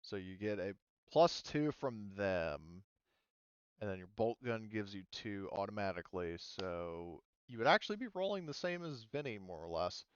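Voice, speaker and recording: A man with a fairly low, clean voice runs a tabletop game. He explains rules casually and hesitantly, working things out aloud. The sound is close and dry, a little dull like voice-chat audio. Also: animated, smooth, no room sound. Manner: hesitant, halting, thinking aloud. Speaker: game master.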